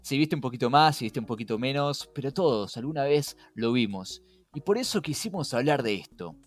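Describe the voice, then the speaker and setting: A man's voice: mid-range voice, expressive, brisk. A young man with a Rioplatense Spanish accent, co-hosting a conversational podcast in an emphatic way, putting questions straight to the listener. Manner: emphatic, rhetorical questioning, addresses listener directly. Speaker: podcast co-host. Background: background music.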